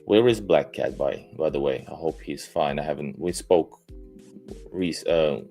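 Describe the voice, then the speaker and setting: A young man hosts a casual live stream in an extremely low voice. He talks haltingly, filling pauses with "uh" and "you know" and restarting sentences. Faint music plays behind him. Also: creaky, warm tone. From Finland. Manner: hesitant, many fillers and restarts.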